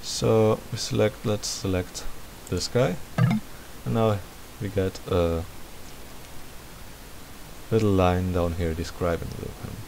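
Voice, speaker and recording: A man gives a casual screen-recording tutorial in a very low voice with a lot of fry in it. He thinks aloud as he works through each step. A faint hiss sits behind his voice. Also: slow pace, pauses often. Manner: thinking aloud while working. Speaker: tutorial host.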